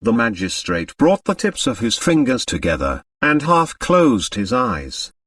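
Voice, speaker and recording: A man's voice: mid-range voice, sing-song, measured pace, fairly bright tone, dry recording, studio quality, distant mic. A man reading a mystery novel as scripted, dramatic audiobook narration.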